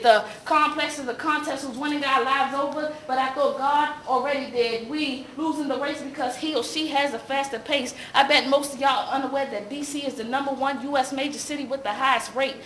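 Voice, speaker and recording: A woman's voice: sits high, a bit bright. A woman performing slam poetry as rhythmic, rhyming spoken word with an emphatic, cadenced delivery. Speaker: slam poet. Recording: echoey room sound with faint noise.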